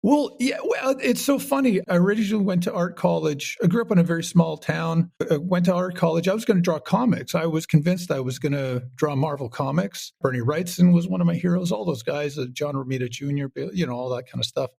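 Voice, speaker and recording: A man's voice: on the high side, brisk, creaky, hardly any pauses, darkish tone. A man chatting casually as an interview guest, talkative, rambling and self-deprecating. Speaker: podcast guest, writer and audio director.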